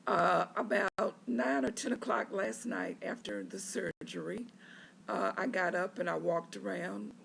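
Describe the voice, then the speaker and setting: A hoarse patient speaks from a hospital bed, the voice raspy and heavy with gravelly fry after neck surgery. The talk comes very slowly, halting and full of filler pauses, as a casual video diary update. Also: mid-range voice, a bit bright, slight background noise.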